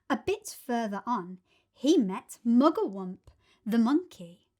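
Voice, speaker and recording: A woman reading a children's storybook aloud as an expressive narrator. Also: on the high side. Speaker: storybook reader.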